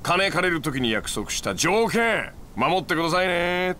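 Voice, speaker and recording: A man's voice: sits high, very animated, normal speed, bright, forceful tone, slight background noise, full character voice. An actor playing a smug, laid-back male moneylender in his thirties, lecturing gangsters in a glib, needling way in a game cutscene. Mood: pointed warning. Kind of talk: video game cutscene acting.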